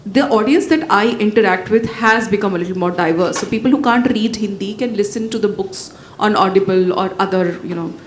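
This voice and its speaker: A woman's voice: fairly quick, few pauses. A woman writer whose first language is Bhojpuri answers questions on a panel, thoughtful and full of fillers and restarts. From India. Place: event hall with audience. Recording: echoey hall, faint rumble.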